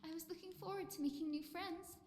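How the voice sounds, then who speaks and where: A woman voicing a gentle, gracious young woman in a fantasy video game, speaking tenderly and politely. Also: very high-pitched, steady tone, fast, slight background noise. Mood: tender, welcoming. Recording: echoey reverb with music behind.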